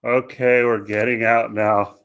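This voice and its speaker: Hapless male soldier played by an actor: very slow, hesitant, deadpan complainer in a radio comedy. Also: medium pitch, smooth, lo-fi sound. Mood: awkward, flat. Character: deadpan, hapless soldier preoccupied with minor discomforts. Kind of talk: comic radio play acting.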